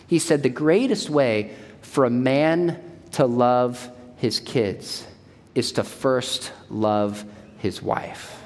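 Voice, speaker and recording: A man in his forties giving an emphatic church talk, repeating his key points. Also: fairly high pitch. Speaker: speaker at a church. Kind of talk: sermon-style talk. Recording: long hall reverb.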